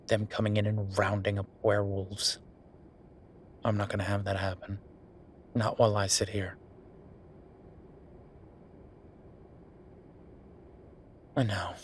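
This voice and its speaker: A tired werewolf boyfriend acted out by a man speaks in a lowish voice over noticeable background ambience. He is frustrated yet affectionate, talking in a natural, intimate, world-weary way, as in a roleplay audio drama. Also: fairly bright tone. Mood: resigned, determined. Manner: conversational, sighing, world-weary. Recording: clear background noise.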